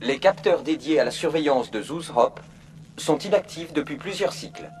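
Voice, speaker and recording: A man's voice: fairly high pitch, lively intonation, normal speed, lightly acted. A man playing a polite, emotionless virtual assistant program in a video game, speaking formally and procedurally. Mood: impassive, procedural. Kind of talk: French video game dub, formal information readout.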